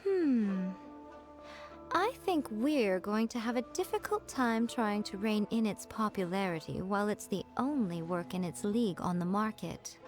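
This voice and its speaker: A woman's voice: mid-range voice, lively intonation, measured pace, darkish tone, faint music behind, natural voice. A woman voicing a sly, self-assured fox-spirit shrine maiden in an anime game, poised, teasing and commanding. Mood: measured, thoughtful.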